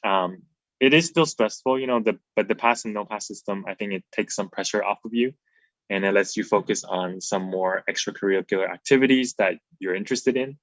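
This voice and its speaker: A man's voice: on the low side, a little fast, no room sound. A man in his twenties from Taiwan, a dental resident giving an easygoing slide talk over a video call, with many ums and uhs. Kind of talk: informal slide presentation.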